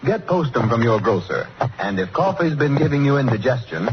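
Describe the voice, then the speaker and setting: A man's voice: medium pitch, very animated, a little fast, background noise. A male radio announcer delivering scripted 1930s commercial copy and sign-offs. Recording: dull 1930s radio recording, music behind.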